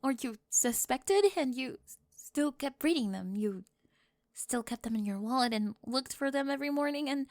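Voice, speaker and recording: A woman voicing a flustered teenage cheerleader bully with a secret crush, stammering and slipping into breathy half-whispers in an ASMR roleplay. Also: high-pitched, moderate pace, dry recording, clean studio sound. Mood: incredulous, guilty.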